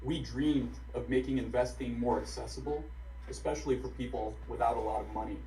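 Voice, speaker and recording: A man in his thirties, from Bulgaria, a company executive reading prepared testimony in a composed, even way over a video call. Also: medium pitch, a little fast, slight background noise. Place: home office on video call. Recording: echoey video call audio.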